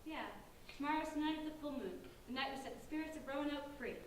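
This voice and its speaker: A woman's voice: high-pitched, fast, close to an everyday voice. A woman playing an encouraging teenage girl who leads her friends, in an amateur staged reading. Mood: hopeful. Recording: very echoey hall, distant mic, faint noise.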